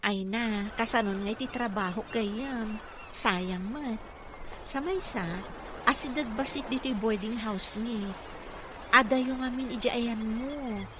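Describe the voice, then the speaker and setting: A woman's voice: fairly high pitch, slow. A woman with a Filipino accent playing a dutiful, caring caregiver in an Ilocano radio drama. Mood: friendly. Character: dutiful, caring female caregiver and working mother. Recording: noisy ambient background.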